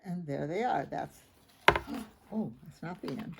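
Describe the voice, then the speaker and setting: A woman's voice: on the low side, animated, unhurried, vocal fry, heavy background noise. A woman reading a children's story aloud expressively, adding informal asides about the pictures. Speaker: children's picture book reader.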